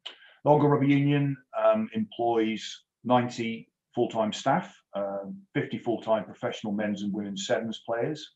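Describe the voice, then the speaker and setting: A man's voice: lowish voice, normal speed, darkish tone. A middle-aged man from Scotland with a mild Scottish accent, presenting on a webinar over a headset, dry and self-deprecating with many fillers. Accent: mild Scottish, softened. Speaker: rugby union executive, webinar guest. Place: home office. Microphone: headset on a video call. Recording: roomy, dull video call audio.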